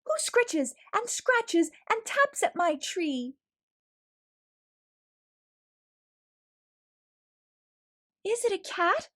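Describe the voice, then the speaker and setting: A woman's voice: very high voice, big pitch swings, takes it slowly, fairly bright tone, voice pushed up, heavily acted. A woman voicing a timid little male mouse from a storybook who tries to sound brave and often speaks in questions. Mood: frightened, calling out. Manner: rhyming, exclamatory questioning.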